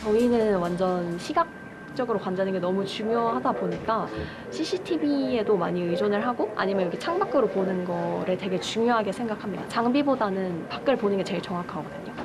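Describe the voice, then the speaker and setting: A woman's voice: medium pitch, lively intonation, a little fast, over music. A woman air traffic controller explaining her work in an informal interview.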